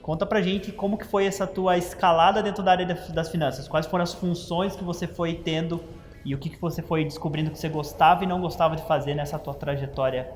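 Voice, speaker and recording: A man's voice: on the high side, steady tone, fast talker, roomy sound, long reverb tail. A man hosting a career interview. He asks questions and sums up what his guest says. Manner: probing, summarizes the guest's points. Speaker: career coach host.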